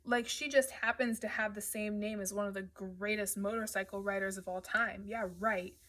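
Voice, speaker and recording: A woman's voice: medium pitch, steady tone, moderate pace, sharp tone, lightly acted. A woman voicing a brash, sneering, quarrelsome adolescent boy in an audiobook story, with a taunting, argumentative manner. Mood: mocking.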